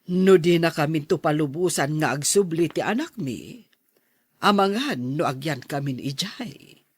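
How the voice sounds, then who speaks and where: Man acting elderly landowner, higher-pitched voice. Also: animated, measured pace, slightly rough. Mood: matter-of-fact. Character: elderly plantation owner and grandparent planning to emigrate. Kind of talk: audio drama acting.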